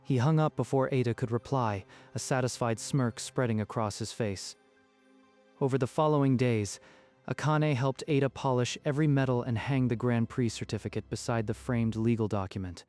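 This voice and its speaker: A man's voice: medium pitch, steady tone, normal speed, darkish tone. A man narrating a scripted dramatic story in a literary storytelling style. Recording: music behind the voice.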